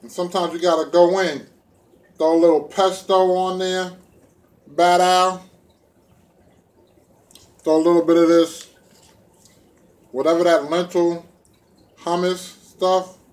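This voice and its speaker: Man, middle-aged, somewhat high pitch, casual food vlog, laid-back, playful, colloquial, recorded indoors at home on a nearby camera. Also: level tone, slow pace, pauses often, a little mellow. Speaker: vegan food vlogger. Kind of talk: casual chat to camera while eating. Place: small room indoors at home. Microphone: phone or camera propped within arm's reach.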